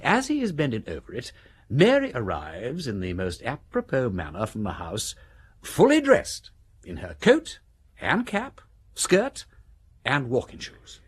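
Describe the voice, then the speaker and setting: An actor playing a witty aristocratic English amateur detective in a British radio drama, brisk and theatrical as he reasons aloud. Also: medium pitch, huge pitch swings, breathy edge, heavily acted. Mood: keen, argumentative. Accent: upper-class English.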